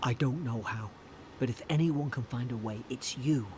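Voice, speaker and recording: An actor playing an earnest soldier companion to an envoy in a fantasy video game, urgent and insistent. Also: male voice, medium pitch, moderate pace, darkish tone, slight background noise, lightly acted. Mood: earnest, resolute.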